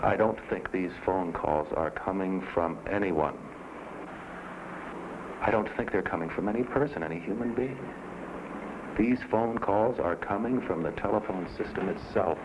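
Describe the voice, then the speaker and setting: An actor playing an anxious man in a film drama, speaking in a halting, stammering way. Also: deep voice. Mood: uneasy, urgent. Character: anxious, hesitant man troubled by mysterious phone calls. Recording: dull, noisy old film soundtrack.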